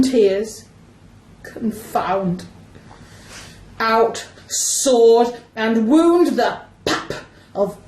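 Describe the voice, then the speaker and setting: Tragic Shakespearean lover-hero played by a woman, hammy and lovestruck, high swooping delivery. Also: full character voice. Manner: declamatory, drawn-out, overwrought verse delivery. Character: lovestruck melodramatic male amateur actor hamming a tragic hero. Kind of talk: amateur Shakespeare radio play acting. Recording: echoey room.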